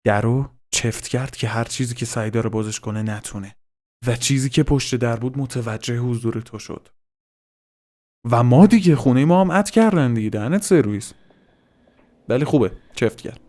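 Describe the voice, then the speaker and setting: A man's voice: medium pitch, dark tone. A man gives casual reaction commentary as a host, swearing informally and dropping in English slang.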